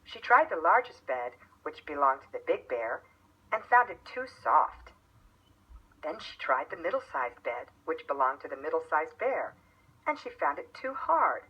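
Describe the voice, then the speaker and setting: Female narrator for a children's story: animated, measured, slightly husky, on somewhat lo-fi audio. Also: low-pitched. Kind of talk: scripted fairy tale narration.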